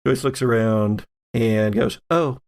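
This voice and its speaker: Man, game master, tabletop roleplaying narration, unhurried, fry in the voice. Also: medium pitch.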